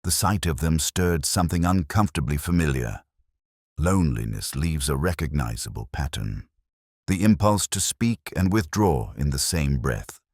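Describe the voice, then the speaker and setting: A man playing a melancholy, reflective police inspector, narrating his case in a measured, literary way like an audio drama. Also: a bass voice, dry recording, clean studio sound, exaggerated voice. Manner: measured, literary, brooding delivery. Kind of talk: scripted first-person detective audio drama narration.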